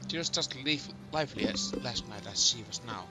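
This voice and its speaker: A man voicing a male champion hero who narrates his quest in a visual novel with measured storytelling. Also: medium pitch, lively intonation, natural voice. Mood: narrating. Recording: music behind the voice.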